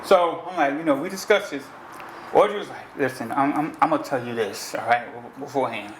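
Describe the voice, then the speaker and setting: A man with a New York accent hosts a relaxed interview, rambling with fillers and restarts. His voice sits in a middle register. The room rings a little, with a faint hiss under it. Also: mid-range voice, brisk, roomy sound, rough recording. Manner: loose, chatty, many fillers and restarts. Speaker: host. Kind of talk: casual interview.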